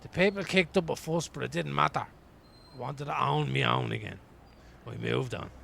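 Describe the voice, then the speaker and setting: An actor in a radio play playing a weary, wry homeless man from Dublin, rambling colloquially in a gravelly voice. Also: medium pitch, moderate pace, bright, forceful tone, a little hiss or hum. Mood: wistful. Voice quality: gravelly, creaky. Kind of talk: radio play acting as an interviewee.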